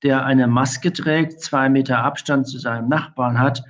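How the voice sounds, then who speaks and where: A middle-aged man answering interview questions as an infection medicine expert, speaking in long, measured, formal sentences full of academic vocabulary. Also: mid-range voice, steady tone, slight background noise. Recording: dull lo-fi audio.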